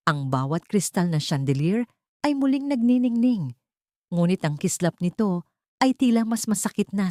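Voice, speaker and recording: A woman narrating a scripted audio story in a deliberate, suspenseful, theatrical way. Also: fairly low pitch, warm tone, no echo, clean studio sound.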